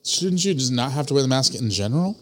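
Animated young man, sarcastic co-host. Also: medium pitch, quick pace. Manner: sarcastic ribbing. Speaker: podcast co-host. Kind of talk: casual banter.

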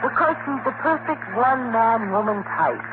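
An actress playing a wisecracking, sceptical newspaper reporter and sidekick in a 1940s radio drama, with a wry, teasing manner. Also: female voice, a little high, big pitch swings, moderate pace. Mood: lively, doubtful. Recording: muffled, noisy 1940s radio recording.

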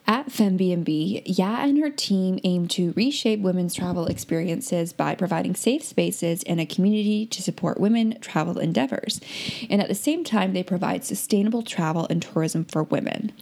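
A woman hosts a podcast, chatting with her guest in an upbeat, encouraging tone. Her voice carries a gravelly, fry-tinged edge. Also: medium pitch, a little mellow. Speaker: podcast host. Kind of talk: podcast interview.

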